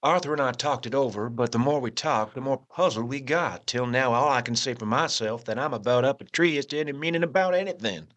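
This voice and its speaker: A man plays a young American gentleman adventurer in a gothic audio drama. His voice sits at a medium pitch. He is frank and puzzled, with a plain-spoken, folksy manner. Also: fairly quick, hardly any pauses, close to an everyday voice.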